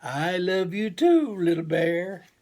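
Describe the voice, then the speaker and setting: A man voicing a proud, encouraging father bear in a children's storybook, coaxing gently and repeating his reassurances. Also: sits high, sing-song, takes it slowly, pitched above the performer's own voice, full character voice. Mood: proud, tender. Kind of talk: children's storybook read-aloud.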